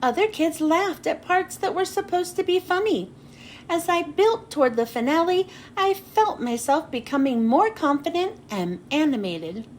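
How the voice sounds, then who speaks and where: Animated high-pitched woman reading a storybook. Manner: animated, dramatic pauses and drawn-out phrase endings. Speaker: children's book read-aloud host. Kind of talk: expressive storybook narration for young children. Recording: noticeable background noise.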